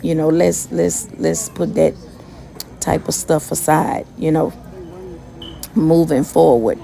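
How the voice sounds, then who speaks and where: A woman giving a casual motivational talk in a monotone, conversational way with many fillers. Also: deep voice, takes it slowly, creaky. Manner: monotone, self-described. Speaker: motivational vlogger and gig worker.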